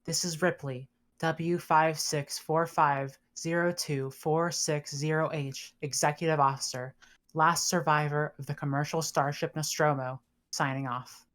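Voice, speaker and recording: A woman acts out a composed female spaceship officer, the lone survivor in a sci-fi drama. Her voice is low. She dictates her log in a measured, formal manner. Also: steady tone, fairly bright tone. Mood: calm, composed.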